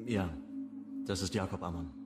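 An actor playing a sober first-person narrator in a crime radio drama, restrained, in short sentences. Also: male voice, low voice, normal speed, loud music behind the voice, close to an everyday voice.

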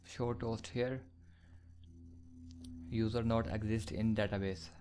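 A man teaching programming in a screencast, slowly dictating code step by step with fillers. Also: fairly low pitch, fairly even intonation, darkish tone, soft background music. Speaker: programming tutor. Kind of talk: screencast coding tutorial.

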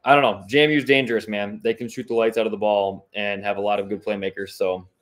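A man's voice: lowish voice, level tone, quick pace, a bit bright. A young man co-hosting a sports podcast over a video call, easygoing and hedging, laughing at himself as he gives his take. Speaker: podcast co-host. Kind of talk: casual sports chat.

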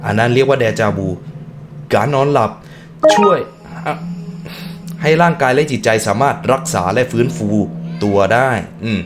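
A man with an animated, mid-pitched voice reads on-screen text aloud as a reaction host. He keeps slipping in short musing asides and thoughtful hums. Music plays softly behind him. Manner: interjects short musing remarks and hums while reading.

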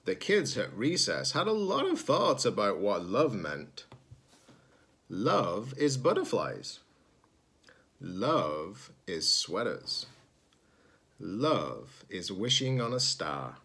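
A man's voice: fairly low pitch, very animated, slow pace, fairly bright tone, husky. A man, a teacher-librarian reading a children's storybook aloud. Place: small indoor room at home.

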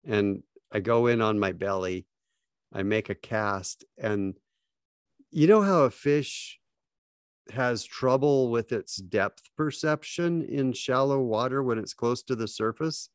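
A middle-aged man telling fishing stories in a slow, reflective way with frequent pauses, speaking from a home office over a video call with earbuds. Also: mid-range voice, clear, resonant tone, no echo, clean studio sound. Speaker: podcast guest, fly fishing writer. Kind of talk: casual storytelling. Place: home office with bookshelves, indoors. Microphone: wired earbuds, video call.

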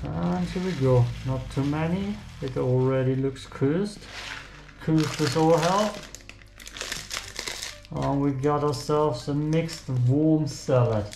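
Slow-talking young man with a German accent. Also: fairly high pitch, creaky, noisy background. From German-speaking country. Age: young adult. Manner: joking, self-talk while working. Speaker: cooking vlogger. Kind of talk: casual cooking vlog. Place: small home kitchen, indoors. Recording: echoey kitchen, faint music.

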